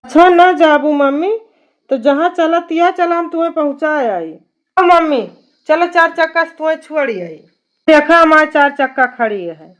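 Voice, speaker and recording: A performer voicing an eager, bragging young village son-in-law in an Awadhi cartoon comedy, pleading and respectful, in a pitched-up voice. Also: lively intonation, moderate pace, fairly bright tone. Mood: coaxing. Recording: dull lo-fi cartoon soundtrack.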